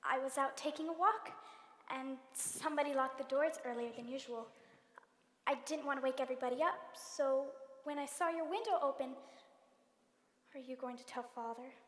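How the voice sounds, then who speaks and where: An actress playing a teenage girl caught sneaking in late, guarded and quick to explain herself. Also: sits high, natural voice. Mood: defensive. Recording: roomy film soundtrack with long reverb.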